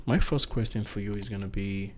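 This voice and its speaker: A man's voice: lowish voice, fairly quick, noisy background. A man hosting a podcast interview in a studio, chatty and teasing, often repeating himself. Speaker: podcast host.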